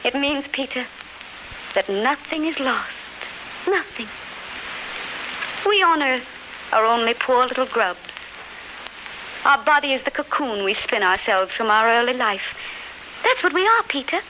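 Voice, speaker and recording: Through clear hiss and crackle of a 1930s radio play comes the spirit of a beloved woman. Her high voice is tender and rapturous, speaking in lyrical, fervent, theatrical phrases. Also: lively intonation, a little slow, pauses often. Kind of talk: 1930s radio drama acting. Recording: noisy, scratchy 1939 radio recording.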